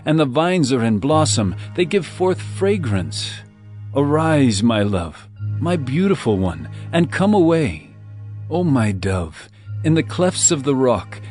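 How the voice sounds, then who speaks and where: An actor playing an ardent, adoring royal bridegroom in a dramatized scripture reading, with poetic, romantic delivery. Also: male voice, mid-range voice, a little mellow.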